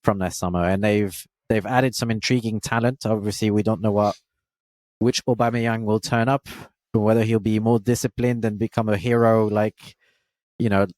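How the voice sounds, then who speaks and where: A man's voice: fairly low pitch, steady tone, normal speed, a little mellow, dry recording. A man giving opinionated, upbeat assessments as a football pundit on a podcast panel.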